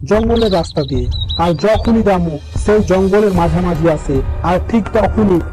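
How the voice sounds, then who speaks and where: High-pitched male fairy-tale storyteller. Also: moderate pace, music in the background. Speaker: storyteller, narrator. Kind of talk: scripted fairy-tale narration.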